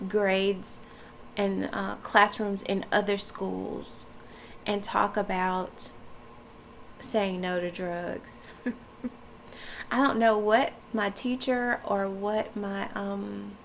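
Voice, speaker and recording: Woman vlogger, congested and froggy, slow flat delivery, faint background noise. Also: medium pitch, vocal fry. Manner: chatty, loose, many fillers, laughs often. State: stuffy nose, froggy voice. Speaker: wellness vlogger. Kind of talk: casual talk to camera. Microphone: camera mic.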